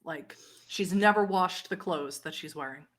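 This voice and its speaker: A woman running a tabletop roleplaying game, narrating in a measured, descriptive manner. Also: fairly low pitch, a bit bright. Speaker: game master.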